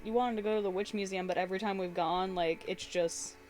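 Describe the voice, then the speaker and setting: A woman's voice: mid-range voice, fairly even intonation, fast, a little mellow, slight background noise. A young woman chatting casually while drawing on a live stream, rambling through stories and laughing often.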